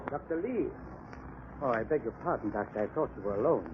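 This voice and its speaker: An actor playing an officious, suspicious laboratory chief in a 1940s radio crime drama, formal and clipped. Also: male voice, mid-range voice, expressive, fairly quick, close to an everyday voice. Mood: startled. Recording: muffled, noisy old radio recording.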